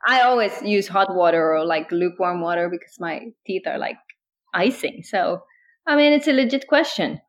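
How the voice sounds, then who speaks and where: A woman in her thirties from Northern Norway, speaking English with a Northern Norwegian accent as a podcast co-host, relaxed and laughing often. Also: on the low side, moderate pace. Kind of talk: casual chat.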